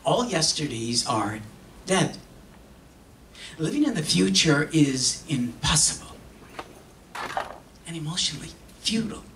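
An older man performing a dramatic reading on stage in a solemn, theatrical manner with long pauses. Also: on the high side, big pitch swings, a bit bright. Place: stage in an auditorium. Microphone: stand microphone heard from a distant camera. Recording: echoey hall, distant camera, faint noise.

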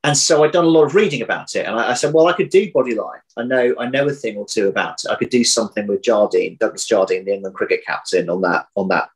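A man in his thirties chatting casually as an interview guest over a video call, often pausing, repeating words and correcting himself as he thinks. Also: on the low side, low-quality recording. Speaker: interview guest, audio drama writer. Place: indoor room at home. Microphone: video call, computer mic.

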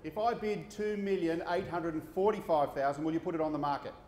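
A man's voice: fairly high pitch, fairly quick, a little mellow. A man bidding and questioning formally from the floor of an auction. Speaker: bidder at an auction. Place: large indoor conference hall. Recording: distant, echoey hall with noise.

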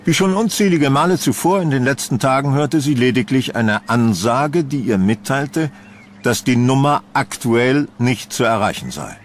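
A man's voice: mid-range voice, animated, moderate pace, a little hiss or hum. A man giving scripted narration of a horror story.

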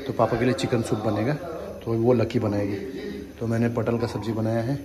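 A man's voice: mid-range voice, little pitch movement, fairly quick, over a noisy crowd, rough recording. A man in his thirties chatting casually to viewers in a family vlog, filming himself with a phone held at arm's length. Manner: chatty, addresses viewers directly, asks them to comment. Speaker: family vlogger. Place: home and outdoor park. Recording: phone recording with background noise.

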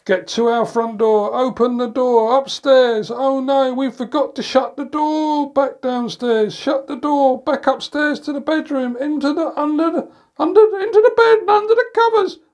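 A man's voice: very high voice, moderate pace, darkish tone. A middle-aged man reading a picture book aloud to young children as a grandad, expressively, with playful sound words. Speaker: grandad reading bedtime stories. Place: indoors in a room at home, by a door.

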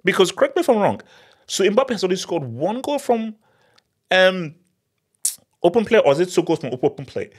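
A man in his thirties, a football channel host, giving an animated, emphatic post-match analysis on a studio mic in a small home studio. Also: sits high, moderate pace, pauses often, husky. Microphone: studio mic on boom arm.

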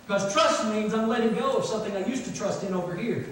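A man's voice: sits high, fast talker, fairly bright tone. A middle-aged man preaching a sermon, emphatically repeating phrases for stress. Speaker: pastor. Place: large church sanctuary, from the pulpit. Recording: echoey church hall, distant, faint noise.

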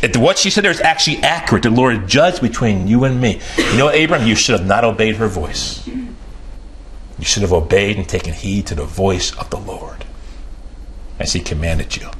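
A man in his forties preaching a sermon in a small room, emphatic and repeating key phrases for weight. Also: fairly bright tone. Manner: emphatic, repeats key phrases, pitch rises and falls sharply.

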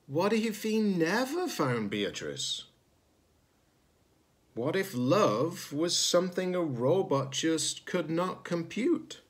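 A man's voice: on the high side, big pitch swings, slow pace, slightly rough. A man, a teacher-librarian reading a children's storybook aloud. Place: small indoor room at home.

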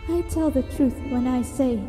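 Woman acting mystical female seer, solemn, high voice, slow, echoing hall. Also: dark tone, faint music behind, close to an everyday voice. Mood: solemn, grave. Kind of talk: fantasy prophecy monologue. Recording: long echoing reverb.

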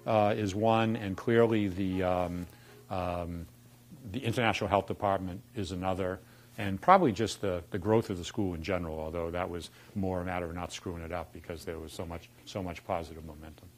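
An older man with a Boston accent, his voice sitting a little low for a man. He tells dry, anecdotal stories to a room of colleagues and delivers his punchlines with a knowing wink. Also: fairly even intonation, fairly quick. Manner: wry storyteller, quoting people with punchlines. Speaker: former dean giving a talk. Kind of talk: anecdotal lunchtime talk. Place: dark lecture room in front of chalkboards.

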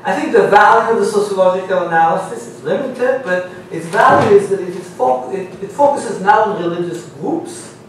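A middle-aged man with an Israeli accent delivers a measured, lecturing academic talk from a panel table, his voice sitting a little high. He is picked up by a table microphone from some distance, and the room around him rings with heavy echo and a faint hum. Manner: measured, lecturing, reads quotations aloud. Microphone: gooseneck table mic. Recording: very echoey room, mic at a distance.

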